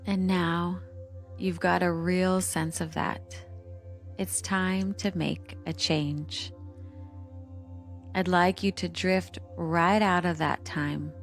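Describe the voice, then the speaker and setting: Slow, low-pitched woman guiding meditation. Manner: measured instructional phrasing with lingering pauses. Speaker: guided meditation narrator. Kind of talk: scripted guided meditation, soothing hypnotic delivery. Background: calming meditation music.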